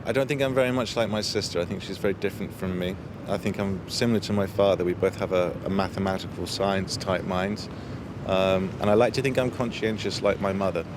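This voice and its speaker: A man's voice: steady tone, a little fast. A man answering interview questions in a considered way, outdoors in a city. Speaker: street interviewee. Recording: noisy outdoor urban street, traffic rumble.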